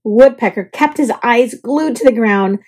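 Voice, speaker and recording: Lady doing a kids read-aloud, high voice, really animated, bit husky. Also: unhurried. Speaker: storybook reader. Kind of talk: expressive children's read-aloud narration.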